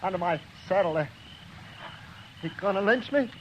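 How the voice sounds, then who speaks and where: Casting: weaselly frontier coward, high swooping American voice, panicky, vintage radio western, dull sound. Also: male voice, lively intonation, normal speed, slight background noise. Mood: terrified, pleading. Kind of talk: 1950s radio western acting.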